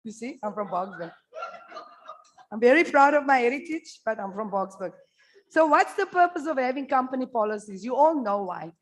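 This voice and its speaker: A woman's voice: fairly high pitch, expressive, moderate pace, rough recording. A South African woman speaking at a conference, blunt and humorous, talking straight to the room. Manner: blunt, humorous, addresses the audience directly. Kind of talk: candid conference presentation.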